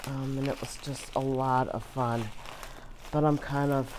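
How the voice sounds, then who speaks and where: A hoarse woman chatting casually through an unboxing, with many filler words. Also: extremely low pitch, steady tone, unhurried, darkish tone, a little hiss or hum, rough recording. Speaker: vlogger.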